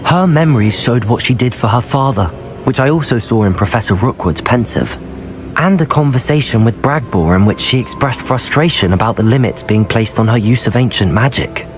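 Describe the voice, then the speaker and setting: An actor playing an earnest, determined young wizard student in a video game, with a serious, measured way of speaking. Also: male voice, medium pitch, expressive, lightly acted. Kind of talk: dramatic video game voice acting. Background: game music.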